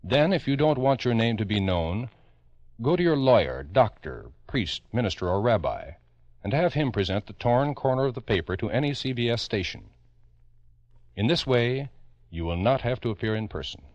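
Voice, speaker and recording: An American man narrating a true crime radio show in a grave, emphatic 1950s style, addressing the listener urgently with dramatic pauses. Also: mid-range voice, big pitch swings, a little mellow, no room sound. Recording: dull vintage 1950s radio recording.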